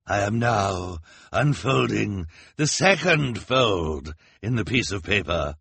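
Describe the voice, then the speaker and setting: A man voicing a vain, scheming male villain who talks like a theatrical showman, loud and gloating. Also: on the low side, animated, takes it slowly, creaky, breathy edge, no echo, voice pushed up, exaggerated voice.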